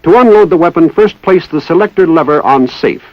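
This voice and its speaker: A man's voice: fairly high pitch, expressive, fairly quick, dry recording. A man with an American accent reading formal, methodical instructional narration in the style of a 1960s military training film. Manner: formal, precise, methodical enunciation. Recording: dull lo-fi 1960s film soundtrack.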